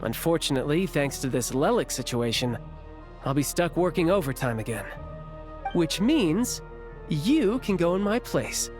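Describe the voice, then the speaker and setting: A man's voice: fairly high pitch, very animated, normal speed. A man playing an easygoing young soldier in a video game, conversational and a little wry. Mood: wry, thoughtful. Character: easygoing young male soldier, dutiful older brother. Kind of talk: video game dialogue acting. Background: game music.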